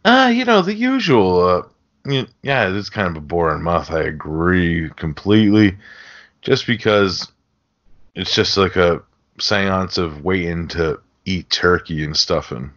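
A man's voice: sits low, animated, a little slow, fairly bright tone. A man co-hosting a casual film podcast, rambling along in slangy talk with some casual swearing. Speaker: podcast host. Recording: dull, lo-fi podcast audio.

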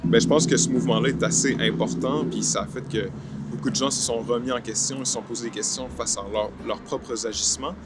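A man's voice: mid-range voice, fairly even intonation, fairly quick. A man, casually answering interview questions outdoors. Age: 20s. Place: outdoor park film set. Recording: soft music bed under the voice.

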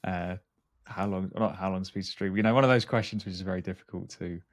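Male podcast host, low register, dry recording, interview delivery. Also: steady tone, fast talker, no echo.

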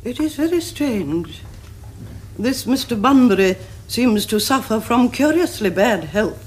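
A woman's voice: a little high, expressive, measured pace, breathy edge. A middle-aged woman with an upper-class English accent playing a haughty, imperious Victorian aunt in a period comedy, delivering stately pronouncements. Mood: imperious disapproval. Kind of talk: period stage comedy acting. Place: furnished drawing room set. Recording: noisy old television soundtrack.

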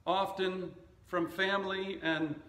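Middle aged guy pastor giving a sermon, really slow and deliberate, roomy echo. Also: sits high, fairly even intonation, fairly bright tone. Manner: measured, deliberate delivery in short phrases. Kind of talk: sermon with scripture reading and anecdotes. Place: indoor church stage at a wooden lectern.

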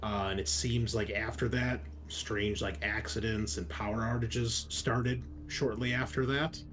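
A man narrating a tabletop roleplaying game as game master, improvising and explaining things in a halting way. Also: fairly low pitch, steady tone, background noise.

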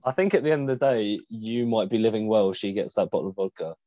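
A man's voice: on the low side, fast, dark tone, no echo, lo-fi sound. A young man joining a casual podcast as a guest co-host over a video call on a headset, friendly and polite. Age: young adult.

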